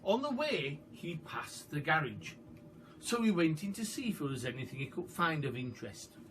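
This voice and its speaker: A man reading a children's story aloud as a storyteller, in an expressive, dramatic and emphatic manner. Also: a little high, moderate pace. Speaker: storyteller, primary school teacher. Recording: far from the mic, faint background noise.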